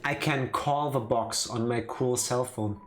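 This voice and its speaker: A man's voice: medium pitch, steady tone, measured pace, fairly bright tone, a little hiss or hum. A man giving casual commentary while playing a game on a live stream. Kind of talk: casual let's play commentary. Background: game music.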